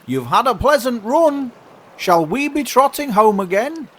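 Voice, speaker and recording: A man's voice: extremely high pitch, sing-song, a little slow, slightly rough, pitched above the performer's own voice, exaggerated voice. A man voicing a curt, grumbling, unfeeling carpenter in a storybook poem, emphatic and complaining. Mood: breezy.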